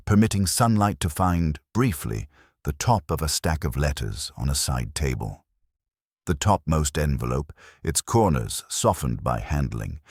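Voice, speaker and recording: Very deep-voiced male story narrator. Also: no room sound, studio quality. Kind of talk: scripted audiobook-style narration of a mystery story.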